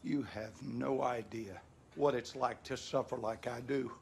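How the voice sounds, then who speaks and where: A man's voice: medium pitch, steady tone, unhurried, vocal fry, a little mellow, slight background noise. An actor playing an abusive, bitter Southern husband and father, coarse and menacing, in a dramatic video game, with a Southern US accent. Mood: bitter, aggrieved. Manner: menacing, coarse, folksy cursing.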